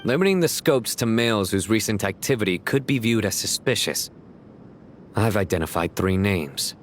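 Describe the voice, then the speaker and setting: A man playing a grave, methodical law enforcer in a video game, formal and deliberate in his speech. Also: on the low side, expressive, a little hiss or hum, natural voice. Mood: grave, analytical. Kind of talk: scripted video game voice acting.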